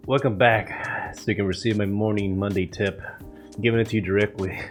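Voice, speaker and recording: Low-voiced man with a cold, rambling. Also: steady tone, normal speed, faint music behind. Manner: rambling, profane, many fillers. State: coming down with a cold. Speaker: vlog host. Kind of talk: casual morning vlog talk. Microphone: camera mic.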